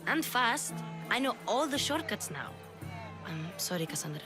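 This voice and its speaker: A woman's voice: fairly high pitch, sing-song, moderate pace, over music. A woman voicing an eager young girl, a plucky sidekick in a video game. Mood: earnest, apologetic.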